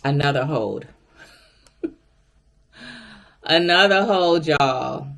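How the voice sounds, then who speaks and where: Woman vlogger, deep gravelly voice, chatty storyteller talking to viewers. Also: extremely slow. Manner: chatty and animated, addresses viewers directly. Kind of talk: casual storytime.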